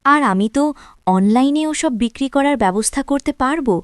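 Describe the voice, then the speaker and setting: Woman voicing a high-voiced young daughter-in-law. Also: lively intonation, fairly quick, no room sound, studio quality. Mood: hopeful. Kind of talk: dramatic cartoon dialogue.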